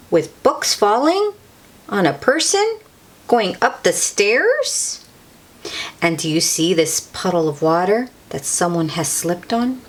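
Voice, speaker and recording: A woman reading a picture book aloud to children in an expressive way, drawing out words and adding chatty asides for young listeners. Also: mid-range voice.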